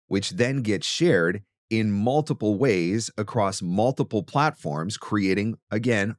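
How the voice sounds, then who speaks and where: Man, very deep voice, podcast host, instructional monologue, emphatic delivery, close mic, dry sound. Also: dry recording.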